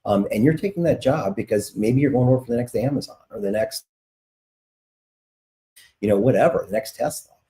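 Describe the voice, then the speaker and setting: A middle-aged man, a guest on an interview, making emphatic points and reasoning out loud with restarts. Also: mid-range voice, fairly quick, pauses often. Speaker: guest, relocation author. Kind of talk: casual interview answers.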